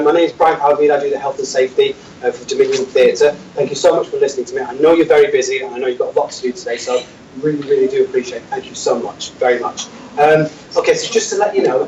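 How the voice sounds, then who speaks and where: A man's voice: fairly high pitch, darkish tone, natural voice. A man playing a nervous safety officer who hesitates and keeps apologising. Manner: timid, hesitant, apologises constantly. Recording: roomy, distant, dull, noisy hall.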